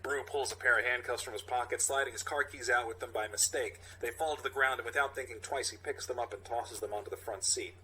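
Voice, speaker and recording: A man's voice: medium pitch, fast talker, few pauses, bright, forceful tone, a little hiss or hum, low-quality recording. A man hosting a podcast, reading a comic mock-dramatic script and bantering around it. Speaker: podcast host.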